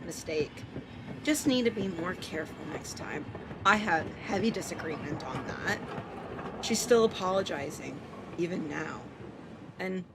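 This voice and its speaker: A woman with an American accent on a live game stream, reading a visual novel aloud and commenting casually, swearing and tripping over words. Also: on the low side. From Portland, United States. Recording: clearly noisy background.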